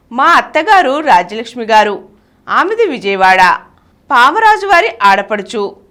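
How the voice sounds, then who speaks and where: A woman's voice: sits high, a bit bright. A woman reading a first-person memoir aloud from a script. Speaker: reader of a memoir.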